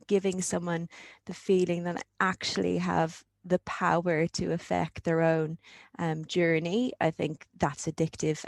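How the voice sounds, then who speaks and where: A woman's voice: fairly low pitch, creaky, a little mellow, dry recording. A woman in her thirties with an Irish accent, a charity leader talking through her career as an interview guest, thoughtful and full of ums. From Ireland. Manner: thoughtful, with frequent ums and restarts. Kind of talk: conversational interview.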